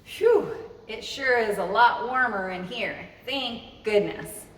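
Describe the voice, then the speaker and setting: Lady doing kids storytime, slow and super expressive, echoey room, mic kinda far away. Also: fairly high pitch. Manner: expressive, addresses young listeners directly. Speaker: children's librarian, storytime host. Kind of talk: children's read-aloud storytelling. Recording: roomy, echoey, distant mic with noise.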